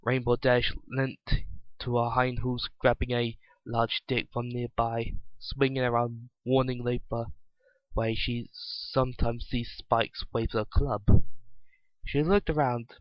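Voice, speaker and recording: A man giving an amateur dramatic story reading, halting and stumbling over words as he narrates. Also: mid-range voice, flat delivery, no echo, dull audio. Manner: halting, stumbles and openly remarks on slips. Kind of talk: dramatic reading of fan fiction.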